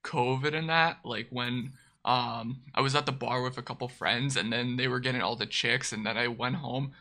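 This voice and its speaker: A man's voice: mid-range voice, fairly even intonation, moderate pace, bright, forceful tone. A man of twenty hosting a casual stream chat, joking, teasing and swearing. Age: 20s. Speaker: streamer host.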